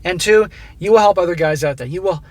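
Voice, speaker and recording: A middle-aged host with a high-pitched voice reads a listener's story and breaks in with blunt, profane advice. His voice is going hoarse. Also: brisk, a little mellow, dry recording. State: losing his voice. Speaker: host reading listener stories. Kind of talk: reading a submitted story aloud with commentary.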